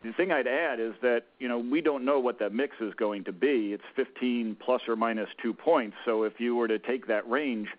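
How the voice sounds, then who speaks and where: A man with a cold, sounding croaky, answering analyst questions on an earnings call with many halting restarts. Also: medium pitch. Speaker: company executive answering analysts. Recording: tinny phone line.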